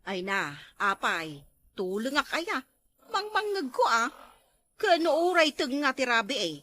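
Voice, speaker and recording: A woman's voice: high-pitched, very animated, unhurried, sharp tone. A woman with an Ilocano accent playing an exclaiming neighbor in a comedy sketch.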